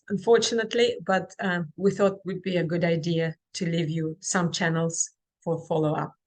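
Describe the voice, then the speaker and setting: A woman giving a webinar presentation over a video call. She speaks haltingly and fills pauses with many ums and restarts. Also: lowish voice. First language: Kazakh. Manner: halting, with frequent fillers and restarts. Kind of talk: online presentation and Q&A.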